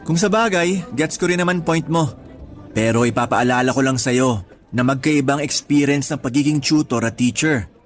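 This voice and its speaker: A man's voice: medium pitch, moderate pace, natural voice. A man acting as a friendly young schoolteacher in a radio drama, speaking in an easygoing, reassuring, lightly teasing way. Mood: friendly, casual.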